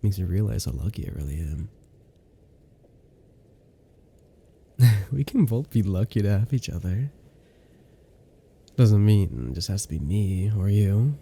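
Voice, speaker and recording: A man playing an affectionate, teasing boyfriend in an intimate ASMR roleplay, with a soft, playful, drawn-out delivery. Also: lowish voice, expressive, vocal fry, slightly rough, heavily acted. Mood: tender. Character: affectionate, teasing new boyfriend cuddling his girlfriend.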